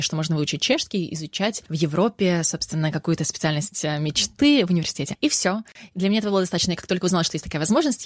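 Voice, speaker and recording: A woman in her thirties from Russia speaks in a low, rapid voice. She tells her story in a quick, flowing way with the odd light laugh. The sound is dry and close. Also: no echo. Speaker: interview guest, actress and language teacher. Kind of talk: casual interview answers.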